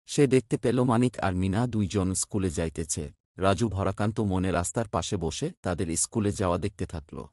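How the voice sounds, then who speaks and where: A man's voice: low-pitched, normal speed, darkish tone, no room sound, clean studio sound. A man giving scripted story narration for a cartoon.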